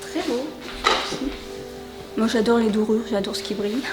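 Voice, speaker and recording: A woman's voice: on the high side, moderate pace. A woman casually chatting as host of an unboxing video, appreciative and often hesitant as she searches for words. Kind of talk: casual chat, showing items. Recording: roomy echo, music behind. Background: background music.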